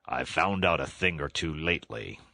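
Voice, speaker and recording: A man voicing an older, commanding badger with a dry, measured manner in a children's storybook. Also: a bass voice, lively intonation, creaky, pushed and bright, no room sound, exaggerated voice. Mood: measured, scheming.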